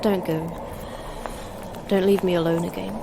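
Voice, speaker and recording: Tough injured female scientist, sardonic, slow delivery, noisy background, sci-fi radio drama. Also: on the low side, little pitch movement, creaky. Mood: pleading, fading. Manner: dry, sardonic, muttering to herself. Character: tough, wry, injured woman scientist fighting to survive. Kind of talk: audio drama acting, intimate monologue.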